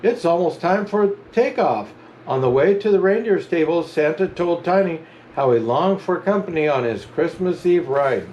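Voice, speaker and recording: A man reading a children's storybook aloud as a Santa storyteller, with animated, chuckling narration. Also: a little high, normal speed, lo-fi sound, a little hiss or hum. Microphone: camera mic.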